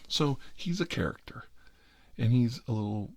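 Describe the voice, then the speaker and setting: A man's voice: medium pitch, expressive, normal speed, creaky, no room sound. A middle-aged American man telling a rambling story in ASMR style, with a wry, sarcastic, exasperated manner.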